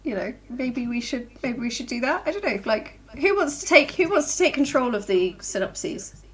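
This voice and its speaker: A woman with a fairly high voice that moves around a lot in pitch. She chats informally as an author, enthusiastic and jokey. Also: expressive, moderate pace. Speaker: author, livestream panellist. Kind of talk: casual chat.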